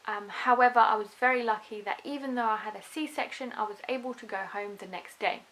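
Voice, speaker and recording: A young woman with a faint Russian accent, softened by years in London, chatting earnestly to camera about becoming a mother, with frequent ums and small restarts. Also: a little high, fairly even intonation, brisk, poor audio. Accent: Russian, softened by a London upbringing. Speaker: vlogger, new mother. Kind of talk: casual talk to camera.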